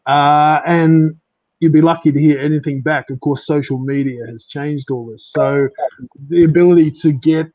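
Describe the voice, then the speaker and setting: A man with an Australian accent, a writer guesting on a podcast, explaining his story in a measured, casual way. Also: a little high, steady tone, dry recording. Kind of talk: casual interview. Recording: dry, dull lo-fi internet connection.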